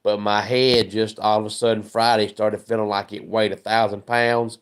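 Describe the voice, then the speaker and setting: A man hosting a casual sports livestream, hoarse and scratchy from a head cold, chatting and greeting viewers by name. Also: fairly low pitch, level tone, normal speed. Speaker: sports channel host.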